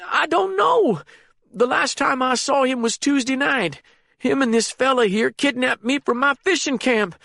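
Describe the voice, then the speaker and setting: Frightened old captive, very high, whimpering and frantic, dry sound. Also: male voice, unhurried, vocal fry, sharp tone, dry recording, full character voice. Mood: panicked pleading. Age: older. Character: panicked old man, terrified captive.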